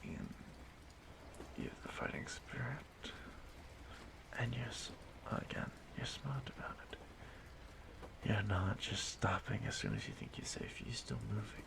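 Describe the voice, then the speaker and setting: A man playing an ageless stalker in an audio roleplay, speaking to his prey in a hushed, halting, softly menacing way, often breathy and whispering. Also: fairly low pitch, low-quality recording. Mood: taunting, admiring. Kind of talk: audio roleplay monologue addressed to the listener.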